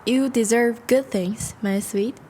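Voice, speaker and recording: A woman playing a charming, once-noble vampire in a romantic audio roleplay, speaking formally and intimately to the listener, with a soft, hesitant manner. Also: on the high side, slow pace, slight background noise. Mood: gracious, flirtatious. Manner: formal, courteous, hesitant, soft-spoken confessions.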